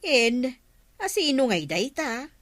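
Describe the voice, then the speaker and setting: Fairly high-voiced, bossy village councilwoman. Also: big pitch swings, takes it slowly, fairly bright tone, no room sound, heavily acted. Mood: inquisitive. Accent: Ilocano. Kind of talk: Ilocano radio comedy drama acting.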